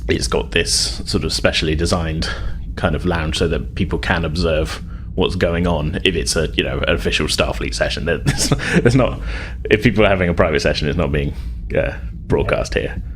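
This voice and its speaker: A man's voice: very deep voice, moderate pace, few pauses, a little hiss or hum. A man narrating a tabletop game as game master, informal and descriptive, with a hesitant, improvised delivery.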